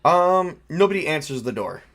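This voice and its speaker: A man's voice: on the high side, sing-song, normal speed, a bit bright. A man acting as game master, narrating and making rulings in a casual, off-the-cuff, conversational way.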